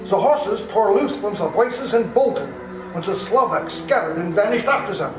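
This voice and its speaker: A man performs a commanding vampire hunter in live radio theater. The voice sits high for a man and is urgent and dramatic. It rings in a roomy space, with music underneath. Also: lively intonation, normal speed. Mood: tense narration. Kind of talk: old-time radio drama acting. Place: live performance in a public library.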